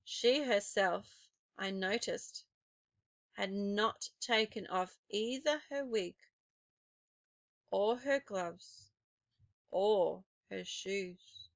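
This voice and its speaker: A woman narrating a children's story expressively, with dramatic, deliberate phrasing. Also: mid-range voice, gravelly, no room sound.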